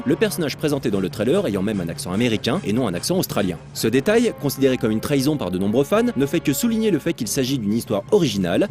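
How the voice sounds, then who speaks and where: Brisk, animated male news narrator. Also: mid-range voice. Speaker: video game news narrator. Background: music and trailer sound.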